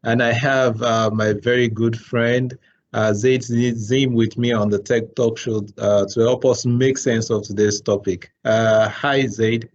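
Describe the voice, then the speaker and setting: A man's voice: mid-range voice, fairly even intonation, measured pace, lo-fi sound, a little hiss or hum. A man hosting an online tech talk show, hesitant and repeating words with many fillers, over a video call. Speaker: host.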